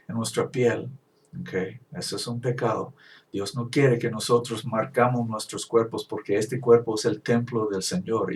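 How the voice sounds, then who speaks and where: A man whose first language is English gives a Bible teaching, reading verses slowly and halting over his words. He sits back from the mic, so his voice sounds a bit distant. His pitch is middling for a man. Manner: halting, with restarts and slow scripture reading. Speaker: preacher.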